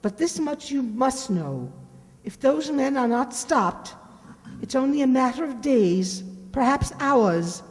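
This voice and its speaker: A woman's voice: high-pitched, sing-song, slow pace, a little mellow, exaggerated voice. A woman playing a frightened, secretive professional spy in a radio drama, with urgent, breathless, dramatic pleading. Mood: grave, secretive. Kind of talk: old-time radio drama acting. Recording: reverberant stage-like radio play recording.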